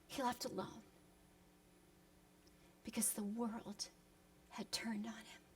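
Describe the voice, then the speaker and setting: A woman preacher giving a solemn, dramatic reflective sermon, deliberate with emphatic pauses, moving between hushed and impassioned delivery, in a reverberant hall. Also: fairly high pitch, level tone, slight background noise. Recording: long echoing hall reverb.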